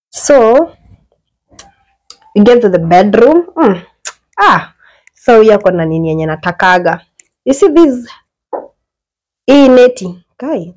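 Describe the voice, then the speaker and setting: A woman's voice: mid-range voice, low-quality recording. A young Kenyan woman chatting her way through a house tour, filming on a handheld phone in a small indoor apartment. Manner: chatty, mixes English and Swahili slang, lively exclamations. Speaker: vlogger giving a house tour. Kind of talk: casual house tour chat. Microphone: phone camera held while walking.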